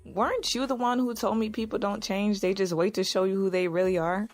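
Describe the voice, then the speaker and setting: A woman acting a sharp-tongued, jealous but caring lover in naturalistic audio drama dialogue. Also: medium pitch, fairly even intonation, brisk, close to an everyday voice. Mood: skeptical, jealous. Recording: music behind the voice.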